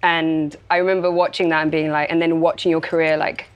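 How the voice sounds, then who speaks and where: Lively young actress, bubbly interview chat. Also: female voice, deep voice, brisk, smooth, dull audio. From South Africa. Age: young adult. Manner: animated, bubbly, laughs often. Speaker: actress guest.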